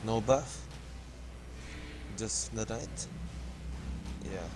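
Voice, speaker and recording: Low-voiced Filipino-accented man, halting second-language English, casual game tutorial host. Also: noisy background. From Philippines. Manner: hesitant, halting, restarts words often. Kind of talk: casual gameplay commentary.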